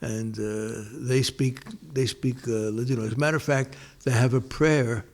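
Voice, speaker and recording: An older man talks through old memories in an unhurried way. He keeps circling back with restarts and fillers, and his voice sits in a mid-range pitch. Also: fairly even intonation, a little mellow. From New York, United States. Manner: rambling, many restarts and pauses. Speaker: interviewee. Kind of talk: casual oral-history interview.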